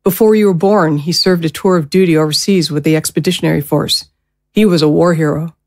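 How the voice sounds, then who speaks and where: A woman playing a gentle, protective middle-aged mother in an audio drama, speaking in a soothing, careful way. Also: low-pitched, fairly even intonation, fairly quick. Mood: regretful.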